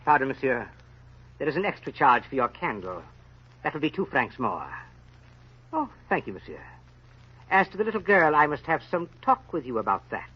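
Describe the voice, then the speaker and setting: An actor playing a sly, greedy innkeeper in an old-time radio drama, haggling with an oily, pious manner. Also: male voice, a little high, very animated, moderate pace, pauses often, breathy edge. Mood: wheedling, sly. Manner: oily mock piety, haggling. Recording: muffled vintage radio broadcast.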